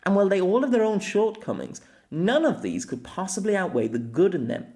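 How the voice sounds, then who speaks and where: A man narrating a scripted opinion essay as host, in deliberate, formal phrasing with colloquial asides. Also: high voice, big pitch swings, darkish tone. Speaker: host of an anime audio essay.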